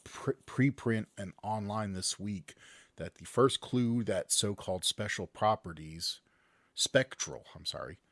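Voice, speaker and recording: A man's voice: fairly low pitch, unhurried, gravelly, no echo. A man co-hosting a casual podcast, reading news stories aloud and joking around. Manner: jokey, breaks into loud silly voices.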